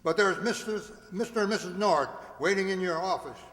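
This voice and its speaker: A man's voice: sits high, brisk, fairly bright tone. A man playing an eager, deferential sheriff's deputy in a radio mystery play. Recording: echoing hall, some hiss.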